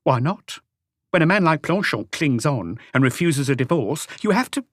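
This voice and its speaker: A man's voice: on the high side, sing-song, normal speed, a bit bright, dry recording, studio quality, pitched above the performer's own voice. A man voicing a defiant, impatient woman who is blunt, scornful and unapologetic, in an audiobook story. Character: defiant, impatient woman, proud of her lover.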